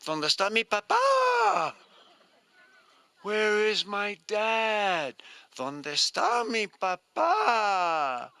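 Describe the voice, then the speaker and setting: A man's voice: high-pitched, wildly swooping pitch, extremely slow, gravelly, pushed and bright, clean tone, pitched above the performer's own voice, heavily acted. An older man voicing a tiny female baby heron in a story, innocent and eager, calling out plaintively. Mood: plaintive, searching.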